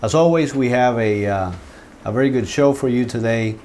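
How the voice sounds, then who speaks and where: A man hosting a local arts interview show, talking in a relaxed way with frequent fillers. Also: mid-range voice, moderate pace. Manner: friendly, relaxed with filler words. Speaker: host. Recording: faint background noise.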